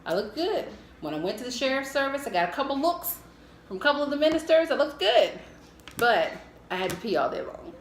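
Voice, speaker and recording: Echoey, higher-voiced American woman telling stories. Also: a little fast. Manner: animated, candid, acts out her own thoughts. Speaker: storyteller. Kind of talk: casual humorous personal storytelling. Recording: echoey room with faint noise.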